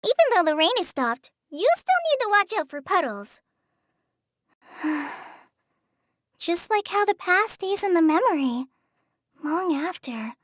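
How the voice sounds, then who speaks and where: A timid young forest ranger girl from a video game, with a very high, lively voice. She hesitates, sighs and apologizes as she talks. The studio recording is dry and close. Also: a little slow, pauses often, no room sound, heavily acted. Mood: gentle. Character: shy young female forest ranger apprentice. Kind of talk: game character voice lines. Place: studio booth.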